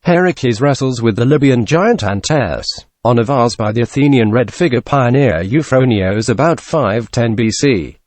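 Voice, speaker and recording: Guy reading out textbook passages, mid pitched voice, really dry recording. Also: lively intonation, normal speed, hardly any pauses, a little mellow, no room sound. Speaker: test-practice narrator reading passages. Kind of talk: scripted read-aloud of academic texts.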